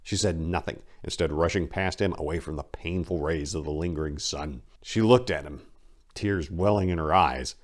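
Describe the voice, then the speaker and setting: A man's voice: a bass voice, moderate pace. A man hosting and reading a scripted story as dramatic narration, with theatrical emphasis. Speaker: host and narrator.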